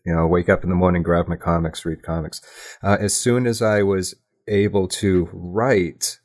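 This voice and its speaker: Man, low-pitched voice, interview guest, reflective, casual, modest, candid, slightly halting delivery. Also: fairly even intonation, a little mellow. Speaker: podcast guest, audio drama writer.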